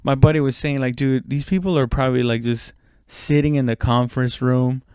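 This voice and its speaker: A man's voice: mid-range voice, fairly even intonation, brisk, darkish tone, clean tone, dry recording, clean studio sound. A man giving a casual, rambling comedic monologue, self-deprecating and swearing freely. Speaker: comedian and podcast host.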